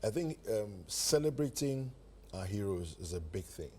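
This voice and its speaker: A man's voice: on the low side, very animated, normal speed, warm tone, breathy edge. A man with a Ghanaian accent hosting a morning TV show in a conversational style. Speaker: TV show host. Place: TV studio set.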